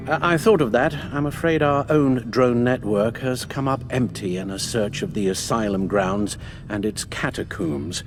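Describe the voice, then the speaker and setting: An actor playing an elderly British butler and mentor in a video game, caring and worried, with formal, measured, genteel phrasing. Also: male voice, medium pitch, lightly acted. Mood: matter-of-fact. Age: older. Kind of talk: dramatic video game voice acting. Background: background music.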